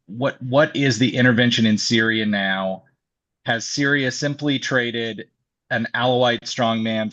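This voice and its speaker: A man's voice: mid-range voice, a bit bright. A man co-hosting a political talk show, arguing his point with many restarts and fillers. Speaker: co-host. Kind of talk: political discussion. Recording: dull lo-fi sound.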